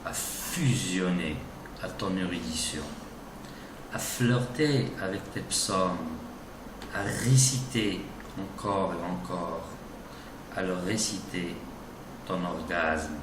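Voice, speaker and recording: A man giving a dramatic, declamatory recitation of romantic poetry in deliberate phrases. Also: low voice. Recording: distant, echoey, noisy recording.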